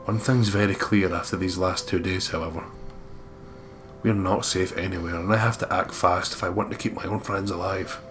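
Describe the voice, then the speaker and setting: A man's voice: low-pitched, steady tone, normal speed, noisy background. A man reading a first-person story aloud as dramatic narration for a game playthrough, with the odd stumble. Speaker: let's play host reading a visual novel aloud. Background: loud game music.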